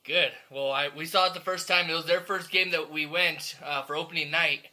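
A man's voice: a little high, fairly even intonation, a little fast, bright, forceful tone. A man hosting a podcast interview, asking long, rambling questions in an easygoing, chatty way. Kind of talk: casual interview chat.

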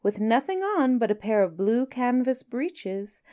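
A woman's voice: on the high side, very animated, measured pace, mellow, dull audio, voice pushed up. A woman voicing a small, clever fish in a storybook reading, speaking in a polite, scheming way. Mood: sly.

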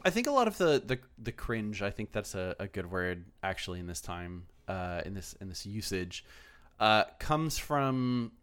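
A man's voice: fairly low pitch, very animated, moderate pace, a bit bright, clean tone. A man hosting a podcast, chatting casually and reading listener emails, with lots of fillers, restarts and the odd swear word. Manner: rambling, many fillers and restarts. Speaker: podcast host.

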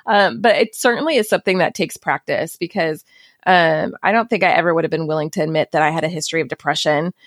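A woman's voice: low voice, fast talker, dry recording. Woman podcast host, candid and chatty, close dry sound. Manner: open, self-reflective, laughs easily. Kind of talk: casual conversation.

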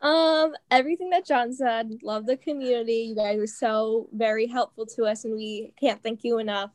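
A teenage girl from a high school drama club, talking enthusiastically in a casual interview. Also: fairly even intonation, normal speed, no room sound. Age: teens. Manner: enthusiastic, gushing.

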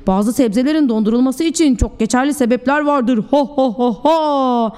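A high-voiced, mischievous little pea villain, played by a woman storyteller. It is bossy and theatrical, taunting and gloating in storybook fashion with slow, wicked laughs. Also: a little mellow, clear, resonant tone, in a large hall, close to an everyday voice. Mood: smug.

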